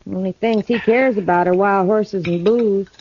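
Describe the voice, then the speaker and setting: A woman's voice: medium pitch, normal speed, darkish tone, slight background noise, lightly acted. An actress playing a plucky, stubborn young mountain girl with a blunt, folksy rural Southern drawl in a radio western. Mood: proud, wry. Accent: rural American South. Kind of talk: 1950s radio western acting. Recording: dull vintage radio recording.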